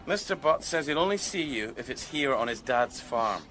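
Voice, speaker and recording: A man's voice: mid-range voice, lively intonation, moderate pace, bright, forceful tone, slight background noise, poor audio. A man playing a polite, long-suffering police sergeant in a radio drama, earnest and deferential. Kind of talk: British radio drama acting.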